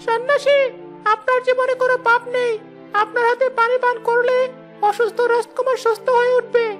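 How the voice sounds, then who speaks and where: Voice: very high-pitched, level tone, brisk. A performer voicing an earnest royal messenger who makes proclamations in a cartoon fairy tale. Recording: music behind. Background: background music.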